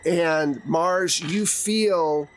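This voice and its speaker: A man's voice: a little high, drawn-out words, background noise. A man speaking as game master, narrating action to players in a conversational way with casual asides.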